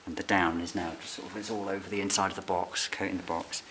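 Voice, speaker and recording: A middle-aged man, a licensed bird ringer, calmly explaining his fieldwork while handling birds in a farm barn. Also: low-pitched, quick pace. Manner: calm, quiet, hands-on explaining with fillers. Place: open farm barn on straw, outdoors air. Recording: noisy outdoor camera recording.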